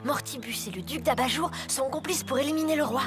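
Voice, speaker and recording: A woman's voice: sits high, fairly quick, in a large hall, natural voice. A woman voicing a cheeky, kind-hearted young girl in a cartoon, perky and confiding. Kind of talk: cartoon dialogue. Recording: loud music behind.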